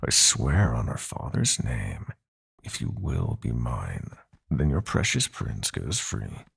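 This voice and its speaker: A man playing a sadistic, possessive god of nightmares in an audio roleplay, with a gravelly, breathy growl and a slow, taunting, theatrical delivery. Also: a bass voice, wildly swooping pitch, full character voice. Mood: gloating menace. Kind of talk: dramatic audio roleplay villain.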